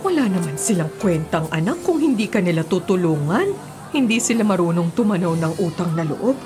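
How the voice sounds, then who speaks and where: Animated, opinionated middle-aged friend. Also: female voice, on the low side, fairly quick. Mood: opinionated, insistent. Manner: expressive, concerned, probing. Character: middle-aged veteran teacher, concerned and opinionated female friend. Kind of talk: Filipino radio drama acting. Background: music.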